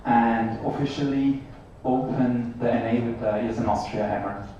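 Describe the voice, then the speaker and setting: A man's voice: mid-range voice, steady tone, normal speed. A man giving an unscripted welcome speech as a board member, hesitant and a little rambling. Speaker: board member opening an assembly. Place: large echoing room, heard from far off. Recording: echoey hall, distant, dull, faint noise.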